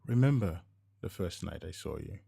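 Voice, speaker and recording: Man voicing devoted husband, middle-aged, low-pitched, gentle, tender, earnest, audio drama storytelling. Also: animated, normal speed, creaky, breathy edge, heavily acted. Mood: fond. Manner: gentle, soft murmuring.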